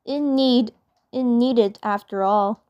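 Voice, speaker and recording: A woman's voice: on the high side, slow pace, dull audio. A woman voicing an earnest, polite young cartoon superhero girl, the responsible leader, reassuring and repeating herself. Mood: welcoming.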